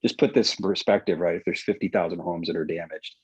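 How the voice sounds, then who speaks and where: Panel guest, male, husky and fairly low, halting conversational delivery, dull muffled audio. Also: steady tone, dry recording. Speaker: panel guest, relief worker. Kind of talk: casual conversational account.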